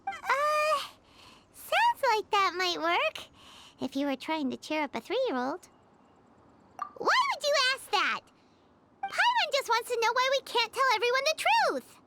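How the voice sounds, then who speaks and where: A woman voicing a tiny, cheeky female fairy companion in a game, high and squeaky, teasing and quick to question. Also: huge pitch swings, heavy background noise, heavily acted. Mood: curious, teasing. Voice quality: squeaky, high, sing-song. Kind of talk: game voice acting. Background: game music.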